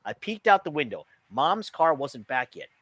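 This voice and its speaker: A man reading a children's book aloud as an expressive storyteller. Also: a little high, moderate pace, no room sound.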